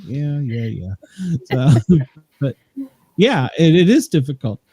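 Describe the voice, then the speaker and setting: A man's voice: medium pitch, big pitch swings, slow, mellow, husky, dry recording. A man in his fifties co-hosting a podcast, rambling in casual chat and laughing at himself. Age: 50s. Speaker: podcast co-host.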